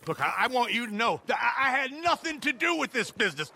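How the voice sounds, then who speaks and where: An actor playing a cowardly, pleading lowlife man in a western game, stammering as he talks. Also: very animated, fast talker, vocal fry, sharp tone, exaggerated voice. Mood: panicked, pleading. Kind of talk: dramatic western game acting. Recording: noisy outdoor game scene.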